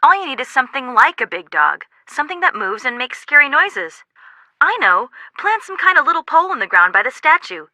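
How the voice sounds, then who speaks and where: A woman voicing a spirited, practical young sidekick in a video game, frank and brisk in her advice. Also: sits high, very animated, pushed and bright, no room sound, heavily acted.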